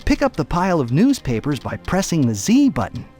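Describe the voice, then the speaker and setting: A put-upon father, speaks with hugely varied pitch. He is mild, weary and reluctant, a droll and distracted video game dad, with quiet music in the background. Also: wildly swooping pitch, brisk. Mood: resigned. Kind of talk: video game cutscene voice acting with tutorial instructions.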